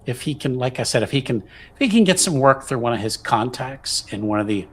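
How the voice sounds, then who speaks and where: A man playing a tabletop roleplaying game, talking casually and haltingly, often repeating himself as he improvises. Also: mid-range voice, animated. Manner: halting, repetitive, conversational. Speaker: tabletop RPG player. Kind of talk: casual improvised character planning.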